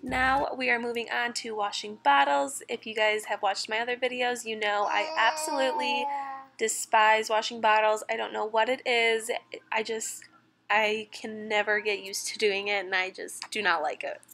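A woman's voice: on the high side, normal speed, creaky. Chatty young woman over background music. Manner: chatty, relaxed, candid. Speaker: vlogger. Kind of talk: voice-over narration of a cleaning vlog. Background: music bed.

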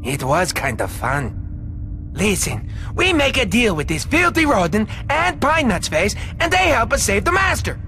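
A man voicing a childish, cowardly henchman with a shrill squeaky voice, shouting and whining in a video game. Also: huge pitch swings, unhurried, exaggerated voice. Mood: bratty. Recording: game music behind.

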